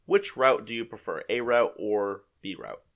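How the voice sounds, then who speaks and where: A man's voice: lowish voice, normal speed. A man with a slightly congested voice from a mild head cold, hosting a casual solo gaming podcast in a conversational, hedging way, thinking aloud. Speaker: podcast host. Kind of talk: casual solo podcast commentary on a video game.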